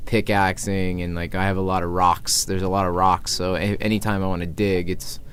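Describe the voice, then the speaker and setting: A man's voice: flat delivery, a little fast, a bit bright. An American man in his early thirties chatting informally as an interview guest, thinking aloud as he goes. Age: early 30s. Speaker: interview guest, traveling musician and activist. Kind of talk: casual conversational interview. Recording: low background noise, room ambience.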